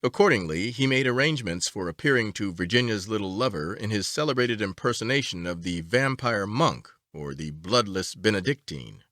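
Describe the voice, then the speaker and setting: A man reading a story aloud as an audiobook narrator, with a measured, expressive storytelling delivery. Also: fairly low pitch, no echo, clean studio sound.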